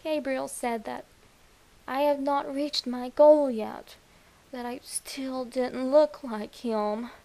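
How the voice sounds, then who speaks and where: Slow, high-voiced demented young woman, acted by a woman. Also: steady tone, warm tone, heavily acted. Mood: unhinged, fervent. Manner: demented, breathy, dramatic, slow with whispered words. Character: deranged lovestruck young woman obsessed with a skeleton, giddy and morbid. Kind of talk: first-person horror story narration.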